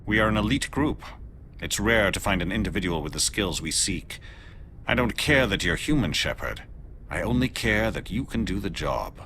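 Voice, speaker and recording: An actor playing a calm, stern male alien agent in a video game, speaking in a measured, deliberate, formal way. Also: low voice, a little hiss or hum. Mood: measured, earnest.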